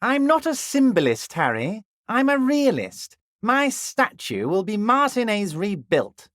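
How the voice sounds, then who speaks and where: An actor playing a scheming, jovial middle-aged male union boss in a video game, with a smooth, folksy, ingratiating delivery that drops into a deep, confiding murmur. The voice is acted for the game. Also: huge pitch swings, unhurried, fairly bright tone, no room sound, clean studio sound, heavily acted. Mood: genial, scheming. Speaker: union leader.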